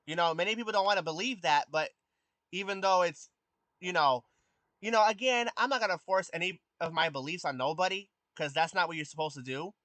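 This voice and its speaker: A man of twenty chatting casually with his live stream viewers. He has a youthful voice that has not deepened, and he hesitates often with ums and restarts. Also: a little fast, bright, forceful tone, dry recording. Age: 20s. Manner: hesitant, many ums and restarts, answers chat questions.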